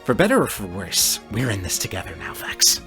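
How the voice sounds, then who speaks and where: A man voicing an intense, obsessive four-armed character in a tabletop game, with deliberate, theatrical phrasing. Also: on the low side, wildly swooping pitch, over music. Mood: intense, ominous. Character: intense, obsessive four-armed gamer, exasperated yet sheepish.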